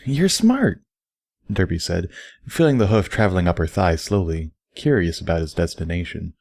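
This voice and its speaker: A man's voice: deep voice, a little fast, gravelly, a little mellow, no echo, clean studio sound. A man reading a scripted story aloud as an audiobook narrator. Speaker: audiobook reader.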